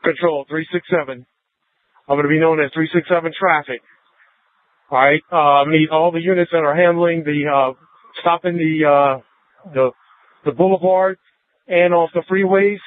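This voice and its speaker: A man's voice: a little high, fairly even intonation. A man with a slightly raised voice giving traffic orders over a tinny police radio. He halts often, searching for words between fillers. The sound is dry and phone-thin. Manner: halting, searching with many fillers.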